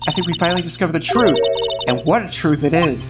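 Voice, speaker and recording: Lowish, lively woman streamer. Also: quick pace. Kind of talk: voiced read-through of a visual novel game. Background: game music.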